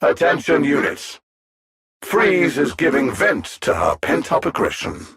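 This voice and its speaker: An actor playing a condescending, coldly calculating alien supervillain in a video game, speaking with haughty, deliberate precision and theatrical mockery. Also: male voice, a little high, very animated, gravelly, a bit bright, breathy edge, heavily acted. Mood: imperious command. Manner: haughty, mocking, deliberate and precise, with grand dramatic swoops.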